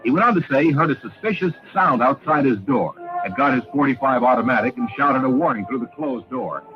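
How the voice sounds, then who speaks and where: A man's voice: mid-range voice, animated, brisk, natural voice. Old radio detective guy, played by an actor, dull vintage sound w/ music, sure of himself, dramatic, voice swings around. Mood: dramatic, assured. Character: male detective narrator, brisk and self-assured. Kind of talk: old-time radio mystery narration.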